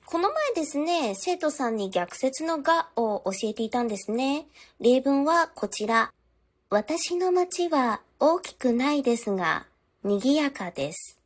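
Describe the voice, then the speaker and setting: A woman language teacher giving a scripted lesson, politely addressing her viewers with clear step-by-step instruction. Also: high-pitched. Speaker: Japanese teacher.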